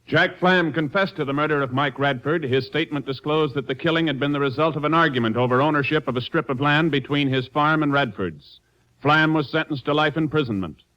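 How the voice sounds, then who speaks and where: A man's voice: on the high side, a little fast. An American man announcing in a formal 1950s radio style. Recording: dull vintage radio recording. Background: loud music.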